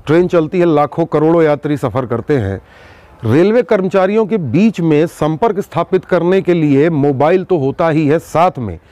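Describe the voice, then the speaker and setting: A man hosting an investigative news show, speaking emphatically to viewers with dramatic pauses and rhetorical questions. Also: fairly high pitch, warm tone, no room sound. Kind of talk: news exposé monologue to viewers.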